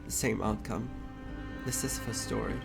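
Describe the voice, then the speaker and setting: A man's voice: on the low side, moderate pace, vocal fry. A man doing playthrough commentary as a game streamer, reacting and reading along. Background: game music.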